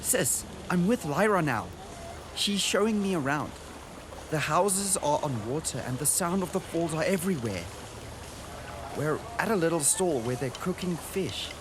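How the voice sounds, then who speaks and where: Animated, high-voiced earnest boy traveler played by a man. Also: measured pace, background noise. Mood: earnest, grateful. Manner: earnest, descriptive, full of wonder. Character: earnest, wonder-struck boy traveler recording for his sister. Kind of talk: radio drama acting with narrated audio diary.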